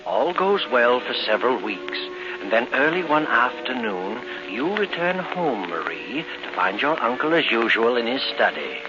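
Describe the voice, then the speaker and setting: A man playing a sinister, gloating narrator of a 1940s radio thriller, telling the tale in a slow, teasing, suspenseful way. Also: medium pitch, sing-song, lightly acted. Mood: ominous. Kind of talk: 1940s radio acting, second-person storytelling. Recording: muffled old 1940s radio recording with music.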